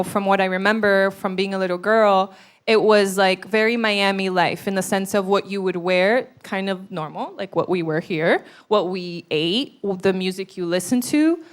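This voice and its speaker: A woman's voice: medium pitch, clean tone. A young adult woman from Brazil, telling her personal story as a guest in an interview.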